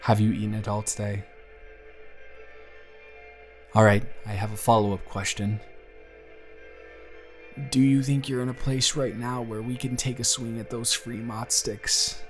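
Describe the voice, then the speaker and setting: Young guy doing a sweet reassuring boyfriend audio, playful and teasing, quiet music underneath. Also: mid-range voice. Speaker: audio roleplay performer. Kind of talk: intimate boyfriend roleplay audio. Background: soft background music.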